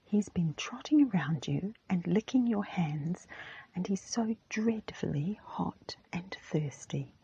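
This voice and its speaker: A woman voicing a feisty, forthright tomboy schoolgirl in a storybook, in a breathy whisper. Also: fairly low pitch, expressive, slow pace, mellow, no room sound, dull audio, heavily acted. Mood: reproachful. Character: feisty, easily offended tomboy schoolgirl, George. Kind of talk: whispered storybook character reading.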